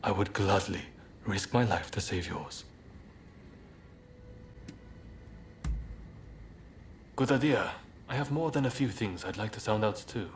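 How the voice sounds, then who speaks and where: A male actor plays a thoughtful samurai rebel in a game cutscene. His voice sits fairly low and his phrasing is polite and measured. Clear ambient sound runs behind the words. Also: lively intonation, slight background noise, close to an everyday voice. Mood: sober, resolute. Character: earnest, soft-hearted samurai rebel leader, devoted and thoughtful. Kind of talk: video game cutscene acting.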